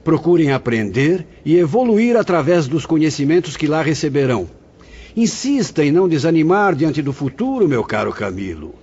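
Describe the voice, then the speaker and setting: A man playing a husky, paternal spirit director. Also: fairly high pitch, moderate pace, full character voice. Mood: solemn. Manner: calm, solemn, instructive. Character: venerable male spirit director, gentle and paternal. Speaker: actor in audio drama. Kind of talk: radio drama acting. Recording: dull lo-fi audio.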